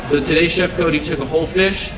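A man's voice: a little high, fairly even intonation, quick pace, noisy background. A man in his thirties giving an earnest, unscripted demo talk to an audience at an outdoor event, with many fillers and restarts. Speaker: seafood company representative. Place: outdoor event stage under trees. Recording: roomy sound from far off with crowd.

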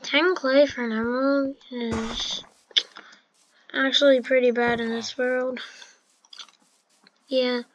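A woman's voice: sits high, level tone, takes it slowly, long pauses, a little hiss or hum, rough recording. A woman giving casual, chatty commentary over her own gameplay, with fillers and restarts. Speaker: gameplay narrator.

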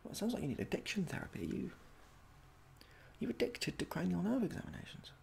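Hesitant young man, creaky ASMR voice. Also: high-pitched, animated, a little mellow, a little hiss or hum. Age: young adult. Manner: halting, hesitant, awkwardly polite. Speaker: ASMR performer playing a medical examiner. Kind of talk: soft-spoken ASMR roleplay. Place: small domestic room indoors.

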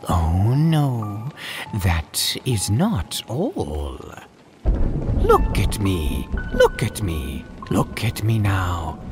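A man's voice: lowish voice, very animated, drawn-out words, music in the background, pitched above the performer's own voice, heavily acted. A man voicing a mischievous, menacing cat trickster in a theatrical, gleeful way for a spooky storybook. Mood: manic showing off. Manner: theatrical, gleeful, chuckling between phrases. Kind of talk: spooky storybook read-aloud.

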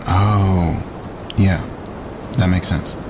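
A man's voice: low voice, steady tone, very slow, background noise. A man playing a practical, cautious camper who reasons firmly, in a naturalistic audio drama. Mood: practical, reassuring. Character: practical, cautious male wilderness camper, reassuring his partner.

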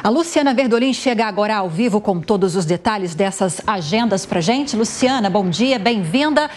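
A young adult woman presenting the news from a TV studio in a measured, clear anchor manner. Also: mid-range voice, expressive, a little mellow.

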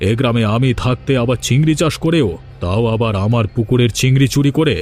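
A man's voice: mid-range voice, brisk, darkish tone, a little hiss or hum, close to an everyday voice. A man voicing an angry, suspicious village fish-farm boss in a cartoon, commanding and indignant, with music underneath. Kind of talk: Bengali cartoon dubbing.

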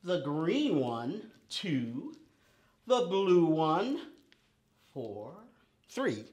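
A man's voice: on the high side, sing-song, extremely slow, a little mellow. A middle-aged man hosting preschool storytime, teaching with exaggerated, simple, repetitive phrasing for small children. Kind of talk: preschool lesson with chants and counting rhymes. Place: indoor storytime room with painted paper backdrop.

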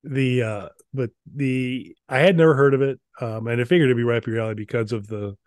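A middle-aged man co-hosting a gaming show in casual, halting, rambling and wry conversation. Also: mid-range voice, darkish tone, no echo, studio quality. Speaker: co-host of a retro gaming show. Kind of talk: casual unscripted chat. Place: small indoor room.

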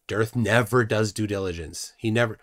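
A middle-aged man hosting a casual livestream from a room at home, scornful and animated, often trailing off quietly. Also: lowish voice, moderate pace. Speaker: host.

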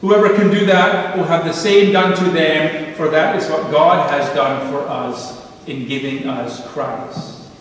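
A middle-aged man preaches at a slow, deliberate pace, leaning into repeated phrases. His voice sits a little high for a man and rings through a big, reverberant sanctuary. He is picked up from a distance at the pulpit, with faint room ambience behind. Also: steady tone, slight background noise. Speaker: pastor. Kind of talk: sermon. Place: church sanctuary, standing at a pulpit. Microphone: pulpit microphone heard from a distant camera. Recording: echoey church hall from far off.

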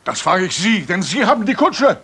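An actor playing a desperate, hot-headed rich gentleman in a 1980s German radio drama. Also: high voice, big pitch swings, moderate pace, breathy edge, full character voice. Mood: agitated. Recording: noisy retro radio recording.

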